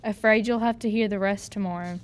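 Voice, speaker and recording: A woman's voice: mid-range voice, steady tone, a little fast, no room sound, close to an everyday voice. A woman playing a plucky fourteen-year-old Irish servant girl in a radio drama, earnest, deferential and folksy. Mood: coaxing storyteller.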